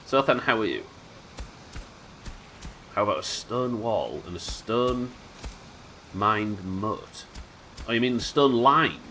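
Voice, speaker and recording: A man with a nasal voice, a little hoarse from illness, chatting wryly and swearing casually while streaming a game live. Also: on the low side, lively intonation, takes it slowly, long pauses, bright, forceful tone, a little hiss or hum. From United Kingdom. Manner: chatty, wry, swears casually, muttering asides. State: sick, slightly hoarse.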